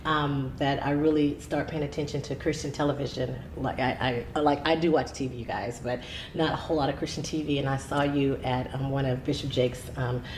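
A woman's voice: a bass voice, fairly even intonation, fairly quick, a little mellow, reverberant, a little hiss or hum. A middle-aged woman hosting a faith talk show in a studio, chatty and bubbly, with many affirming uh-huhs. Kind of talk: enthusiastic interview chat. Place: TV studio set, seated.

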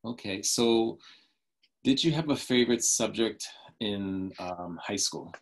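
A man's voice: fairly low pitch, slow, gravelly. A middle-aged man hosting an interview on a video call, asking casual questions and affirming answers with short responses. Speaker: interview host. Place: home room, video call.

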